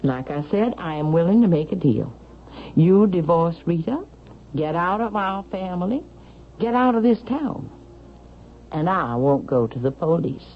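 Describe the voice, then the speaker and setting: An actress playing a proud, suspicious elderly Southern mother in a vintage radio drama, haughty, sharp and demanding. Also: fairly low pitch, animated, a little slow. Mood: cold, accusing. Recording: dull vintage radio broadcast, faint noise.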